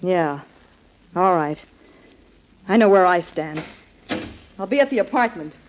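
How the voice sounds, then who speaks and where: A woman's voice: fairly low pitch, lively intonation, measured pace. An actress playing a defiant, indignant girlfriend of a prizefighter in a 1940s radio crime drama. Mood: resigned. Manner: sharp, defiant. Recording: muffled, noisy, crackly 1940s radio recording.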